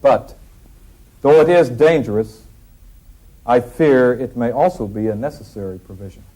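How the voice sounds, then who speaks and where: An actor playing a cautious eighteenth-century delegate, reasoning formally in a period drama. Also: male voice, mid-range voice, normal speed, mellow, lightly acted, poor audio. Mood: grave, reflective. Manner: formal, deliberating. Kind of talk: period stage-like debate acting.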